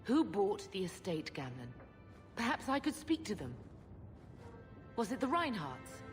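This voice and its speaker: A woman's voice: mid-range voice, sing-song, measured pace. An actress playing a proud, hurt mother of noble birth, speaking insistently. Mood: wounded. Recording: game audio with music.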